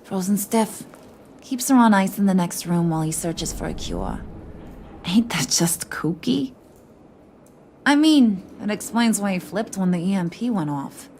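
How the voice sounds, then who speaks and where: A woman's voice: mid-range voice, lively intonation, a little slow, a little mellow, a little hiss or hum. A woman playing a brash, kooky, flirtatious crime boss in a video game, with a slangy, teasing New delivery. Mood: wry, teasing. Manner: slangy, playful, teasing, wise-cracking.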